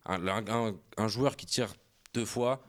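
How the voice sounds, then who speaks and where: Guy on a football podcast, kinda low voice, arguing his side, lots of "euh". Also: unhurried. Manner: argumentative, reasoned, hesitant with fillers. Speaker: podcast co-host. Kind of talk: casual football debate.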